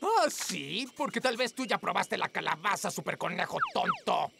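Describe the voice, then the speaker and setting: A woman's voice: medium pitch, big pitch swings, moderate pace, vocal fry, background noise. A woman voicing a mischievous male wolf professor in a Latin American Spanish children's cartoon dub, taunting and theatrical. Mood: smug taunting. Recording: loud children's cartoon music behind.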